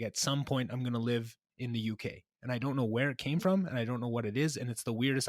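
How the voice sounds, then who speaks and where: Fast-talking young male podcast host. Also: medium pitch, dry recording, clean studio sound. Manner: chatty, rambling questions with restarts. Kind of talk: casual interview conversation.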